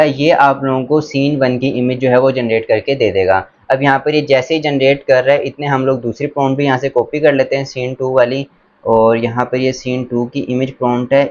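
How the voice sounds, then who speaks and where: A man's voice: mid-range voice, a little mellow. A man presenting a casual step-by-step screen tutorial in an instructional, enthusiastic way, mixing in English words. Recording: dull lo-fi sound, faint background noise.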